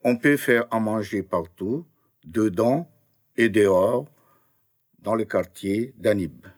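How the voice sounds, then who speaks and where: A man taking French classes, giving simple reportage lines and interview answers, often pausing with fillers as he searches for words. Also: fairly low pitch, no echo, studio quality.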